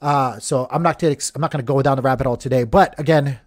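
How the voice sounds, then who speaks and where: A man's voice: medium pitch, fast talker. A man from Hawaii hosting a crypto channel, giving casual market commentary in a rambling, chatty way, full of fillers and restarts and talking straight to the viewers. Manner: addresses viewers as guys.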